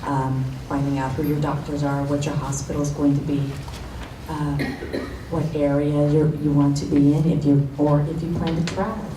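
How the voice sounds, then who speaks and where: A soft-spoken woman giving an informal talk to a room of women, starting a little nervous and speaking off the cuff. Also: sits low, little pitch movement, moderate pace. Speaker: guest speaker. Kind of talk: informal presentation. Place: meeting room. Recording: echoey room, distant mic, noisy.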